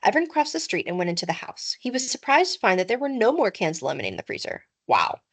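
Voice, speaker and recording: Female storybook narrator, teacherly, expressive and animated, medium pitch, with dramatic emphasis. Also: fast talker, creaky, breathy edge. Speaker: teacher reading aloud.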